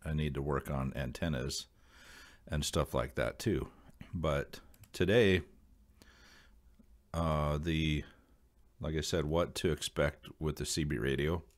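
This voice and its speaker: A man talking casually to viewers as a video host, explaining things in a rambling, halting way. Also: extremely low pitch, fairly even intonation.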